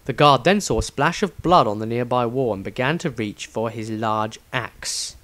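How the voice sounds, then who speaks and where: A man's voice: medium pitch, normal speed, a bit bright. A man reading a scripted fantasy adventure story as a dramatic narrator.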